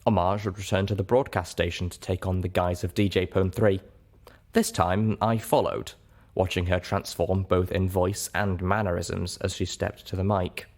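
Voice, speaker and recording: A man's voice: deep voice, fairly even intonation, normal speed, darkish tone. A man reading a first-person story aloud as its narrator. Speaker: story reader.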